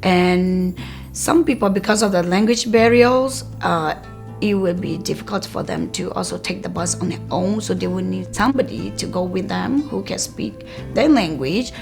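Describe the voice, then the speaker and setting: A woman from Myanmar whose first language is Karenni, speaking as a community health worker in an interview. She talks in a measured way with frequent "um" and "like" pauses. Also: lowish voice, vocal fry, a little mellow, soft background music.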